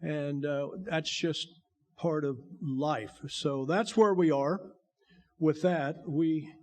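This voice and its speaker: An older man with a gravelly voice, a bishop giving a folksy, joking testimony to his church. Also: fairly high pitch, slow, a little mellow. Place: church sanctuary.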